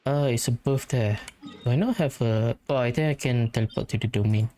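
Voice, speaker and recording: A woman's voice: very deep voice, fairly quick, dark tone, a little hiss or hum. A woman giving casual gameplay commentary as the host of a gaming video. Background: faint game music.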